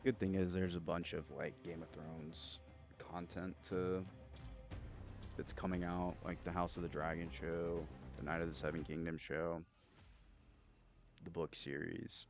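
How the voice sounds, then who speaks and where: A man chatting casually as he streams a game, rambling and repetitive in a halting, slangy way full of filler words. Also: low-pitched, little pitch movement, faint music behind. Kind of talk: casual rambling chat while gaming. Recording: gunfire and game noise behind. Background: video game gunfire and clicks.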